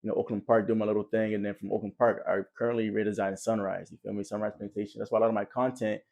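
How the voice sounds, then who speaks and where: A man's voice: little pitch movement, very fast, vocal fry, darkish tone. A young man from Nigeria, talking upbeat and grateful as a podcast guest. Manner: upbeat, high-energy, grateful. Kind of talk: casual interview chat.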